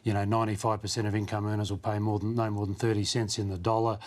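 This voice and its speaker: Male Australian pundit, lowish voice, very quick conversational analysis that stops and restarts. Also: flat delivery. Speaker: political analyst. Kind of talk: unscripted news analysis.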